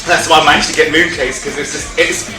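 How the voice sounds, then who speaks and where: A woman's voice: sits low, fairly even intonation, fast, distant mic. A woman interviewer chatting casually with guests. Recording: echoey, off-mic, music behind.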